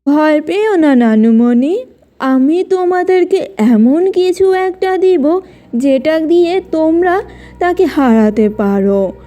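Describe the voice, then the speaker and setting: A woman's voice: sits high, lively intonation, slow pace, dark tone. A woman voicing a kindly, protective old grandmother in a cartoon, grave and reassuring as she tells stories. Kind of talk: children's cartoon acting.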